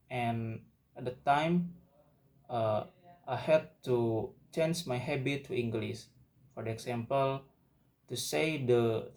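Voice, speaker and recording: A young Indonesian man speaks English at a very unhurried pace, pausing and repeating words as he restarts his sentences. His voice sits at an ordinary pitch for a man, and he talks like a student giving a spoken assignment. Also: darkish tone. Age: young adult. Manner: slow, halting, with frequent restarts and repeated words. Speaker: university student. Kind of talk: spoken class assignment monologue.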